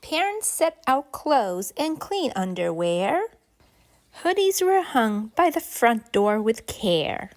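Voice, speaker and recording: A woman reading a rhyming picture book to preschoolers in an expressive, deliberate, sing-song storytelling way. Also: a little high. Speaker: children's story reader and channel host.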